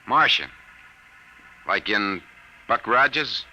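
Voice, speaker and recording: Deep, hard-edged wisecracking private eye. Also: male voice, expressive, slow, sharp tone, exaggerated voice. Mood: startled, bossy. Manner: wry, bossy, hard-boiled. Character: brash, skeptical, wisecracking male private detective. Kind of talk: 1950s radio drama acting. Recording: noisy vintage 1950s radio recording.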